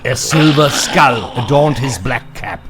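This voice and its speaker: A man reading dramatic scripted narration for a motion comic in a portentous, measured way. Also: mid-range voice, lively intonation, background noise. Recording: clear music behind the voice. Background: music.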